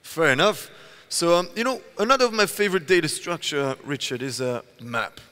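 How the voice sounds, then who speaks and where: A man's voice: fairly high pitch, lively intonation, moderate pace, bright, forceful tone, clear, resonant tone. A man from Belgium in his thirties, co-presenting a tech talk on stage with a headset mic, chatty and full of "you know" fillers. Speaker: conference co-presenter. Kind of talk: prompting questions in a tech talk. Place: large conference hall stage. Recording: long hall reverb.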